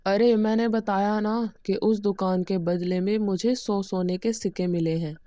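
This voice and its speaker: A cunning, lazy young cobbler from a cartoon story, played by a woman, always glib and persuasive. The voice is mellow, in a dry recording. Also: medium pitch, fairly even intonation, unhurried, no echo, pitched below the performer's own voice, natural voice. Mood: eager.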